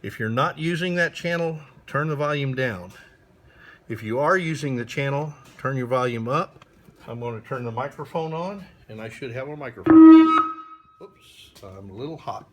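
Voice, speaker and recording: A man giving a slow, plain hands-on demonstration of setting up a sound system indoors, pausing often with fillers. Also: mid-range voice, big pitch swings, slight background noise. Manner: unhurried, plain instructions with frequent pauses and fillers. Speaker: ministry volunteer demonstrating sound gear.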